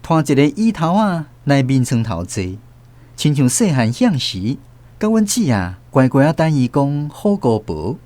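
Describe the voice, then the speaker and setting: Animated Taiwanese male storyteller. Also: medium pitch, a little slow, no room sound. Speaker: narrator. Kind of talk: dramatic scripted first-person storytelling.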